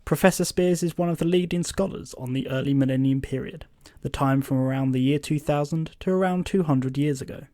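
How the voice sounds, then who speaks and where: Casting: male actor as podcast interviewer, polite, measured, slightly hesitant, soft mellow tone, dry close recording. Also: fairly high pitch, dry recording, lightly acted. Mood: measured, narrating. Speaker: podcast host. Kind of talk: fiction podcast interview and narration.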